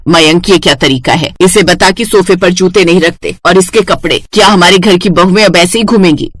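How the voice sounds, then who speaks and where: A woman's voice: on the low side, moderate pace, fairly bright tone. A woman voicing a stern, easily wounded middle-aged Indian mother-in-law who scolds indignantly in a cartoon story. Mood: scolding.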